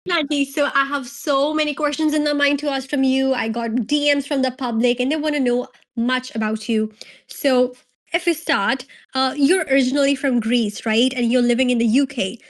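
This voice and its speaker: A woman hosts a channel's interview and puts questions to her guest one by one. Her voice is fairly high, and between answers she chimes in with brief encouraging remarks. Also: steady tone, normal speed. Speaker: host.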